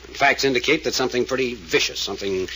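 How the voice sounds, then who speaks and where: An actor playing a blunt, insistent private investigator in a 1940s radio drama, speaking in a firm, probing way. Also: male voice, mid-range voice, fast, sharp tone, lightly acted. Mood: pressing, grave. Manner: firm, probing, matter-of-fact. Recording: noisy vintage radio recording.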